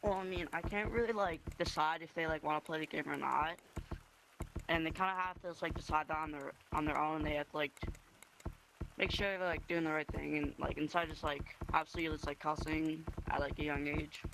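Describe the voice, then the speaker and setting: A man's voice: on the high side, steady tone, brisk, gravelly, noisy background. A young male player giving loose, filler-heavy answers in a casual interview. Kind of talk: casual chat.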